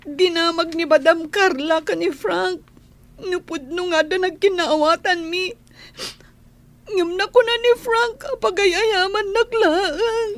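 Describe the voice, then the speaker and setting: A heartbroken young housemaid speaks in a tiny, very high voice. Her Filipino-accented delivery is slow, plaintive and close to tears, in the manner of radio melodrama. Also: steady tone. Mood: dejected. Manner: plaintive, tearful. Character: heartbroken, lovesick young housemaid confiding tearfully. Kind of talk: radio drama acting.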